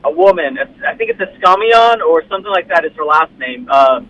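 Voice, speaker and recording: A man's voice: sits high, animated, a little fast, gravelly, a bit bright, slight background noise. Young man on a tinny phone line. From United States. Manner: polite, explanatory, slightly hesitant. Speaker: guest. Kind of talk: casual chat.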